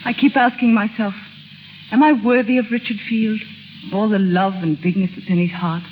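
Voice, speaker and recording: A woman's voice: medium pitch, steady tone, normal speed, a little hiss or hum, natural voice. An actress playing a devoted, self-possessed young woman in love, in 1930s radio drama style. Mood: tender. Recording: tinny band-limited 1930s radio recording with music.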